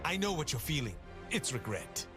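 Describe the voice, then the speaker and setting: A cocky, carefree rogue hero from a video game, pitched high and swinging wildly in melody. He is smug and theatrical, teasing his foes like a playful avenger, with music clearly playing behind him. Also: big pitch swings, moderate pace. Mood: smug menace. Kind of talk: video game character voice lines.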